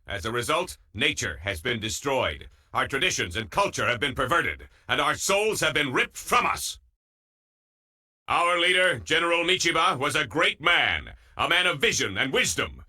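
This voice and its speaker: An actor playing a fanatical nationalist military orator in a video game, declamatory, impassioned and grandiose. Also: male voice, mid-range voice, big pitch swings, normal speed, pushed and bright, slightly rough, full character voice. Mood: grave, bitter. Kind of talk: political speech in English video game dub.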